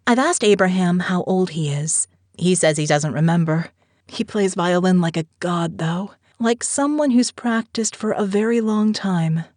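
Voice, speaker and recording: A woman's voice: on the low side, unhurried, a little mellow, no echo, natural voice. A woman reading an audiobook diary as a candid, tender-hearted woman with a frank, wry, conversational manner. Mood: candid, resolute. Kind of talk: first-person audiobook diary narration.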